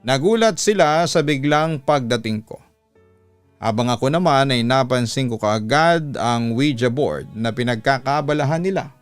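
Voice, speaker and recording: A man's voice: medium pitch, lively intonation, normal speed, clear, resonant tone, no echo. A man with a Filipino accent, a radio host reading a listener's letter as scripted dramatic storytelling. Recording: music behind the voice. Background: background music.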